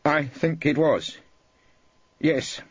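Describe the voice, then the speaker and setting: Man playing a guarded, evasive police witness, husky. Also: a little high, drawn-out words, dry recording, dull audio, voice pushed up, exaggerated voice. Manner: guarded, reluctant. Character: cautious, evasive male police officer under cross-examination.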